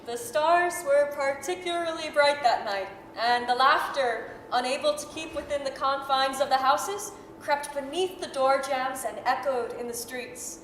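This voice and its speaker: A woman as high-voiced storybook narrator, echoing. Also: measured pace, hardly any pauses, fairly bright tone. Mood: evocative. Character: storybook narrator, vivid and measured. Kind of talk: scripted dramatic narration, 1930s radio style. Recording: echoey hall, faint noise.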